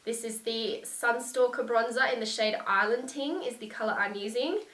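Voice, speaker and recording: A young woman talks through her favourite beauty products with gushing enthusiasm, her voice nasal and stuffed up from a lingering cold. Her tone is bright, and she sits a little back from the mic in an echoey room. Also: fairly high pitch, normal speed, far from the mic. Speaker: beauty vlogger. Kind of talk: casual product review to camera.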